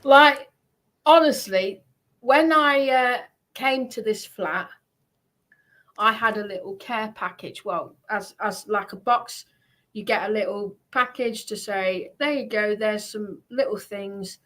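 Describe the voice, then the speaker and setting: A woman talks off the cuff on a livestream in a mid-pitched voice. She is blunt and swears freely, and she hammers her points home by repeating them emphatically. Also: takes it slowly, fairly bright tone, poor audio. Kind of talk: casual livestream chat and rant.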